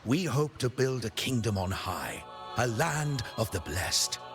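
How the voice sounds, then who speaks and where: An actor playing a pious, lofty high-ranking cleric in a video game, formal, measured and preachy. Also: male voice, very animated. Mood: grave, assured. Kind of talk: theatrical video game voice acting. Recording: music behind the voice.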